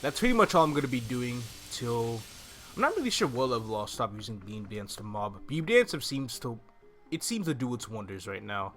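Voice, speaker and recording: A man's voice: mid-range voice, very animated, moderate pace, fairly bright tone. A man in his twenties giving laid-back, explanatory walkthrough commentary on a video game, speaking close to a desktop microphone. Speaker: gaming YouTuber. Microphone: desktop microphone on a stand, close. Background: game music.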